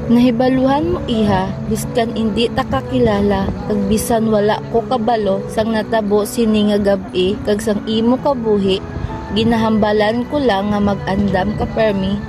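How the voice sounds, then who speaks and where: A woman's voice: mid-range voice, fairly even intonation, moderate pace, lightly acted. Young-sounding woman as motherly balut vendor, Filipino accent. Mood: earnest counsel. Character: caring old female balut vendor, motherly. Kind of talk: amateur radio drama acting. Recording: music bed behind the voice.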